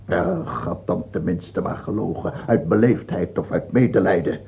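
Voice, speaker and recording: A man's voice: low-pitched, very animated, moderate pace, vocal fry, exaggerated voice. An actor the playing an ailing, fearful and tyrannical king of France in a radio drama, volatile, moving between brooding murmurs and barked scorn. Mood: scornful, needling. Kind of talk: theatrical radio drama acting. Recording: dull, lo-fi old radio recording.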